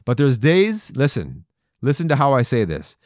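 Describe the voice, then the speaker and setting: A man's voice: medium pitch, wildly swooping pitch, normal speed, a little mellow, no echo, clean studio sound. A man in his forties giving a folksy, encouraging sermon to men, with playful asides and chuckles. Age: 40s. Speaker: men's devotional speaker. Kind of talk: conversational podcast sermon.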